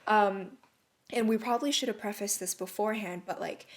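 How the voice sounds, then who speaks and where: A young woman co-hosting a casual podcast chat, talking calmly and laughing often between her phrases. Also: mid-range voice, fairly quick. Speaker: podcast co-host.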